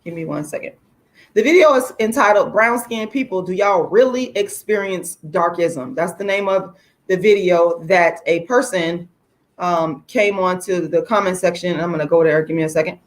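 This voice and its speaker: A woman hosting a casual livestream, speaking to viewers in an informal, outspoken, emphatic way with a slightly hoarse voice. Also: fairly low pitch, normal speed. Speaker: livestream host, activist.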